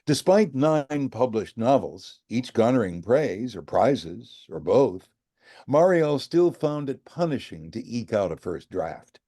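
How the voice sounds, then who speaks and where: An older American man reading literary fiction aloud as a professional storyteller, with measured, emphatic, dramatic phrasing. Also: mid-range voice, sing-song, dark tone. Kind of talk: expressive audiobook-style literary narration.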